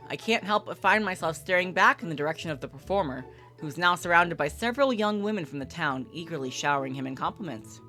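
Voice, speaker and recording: A woman's voice: lowish voice, a bit bright. A woman livestreamer reading a visual novel aloud and commenting casually.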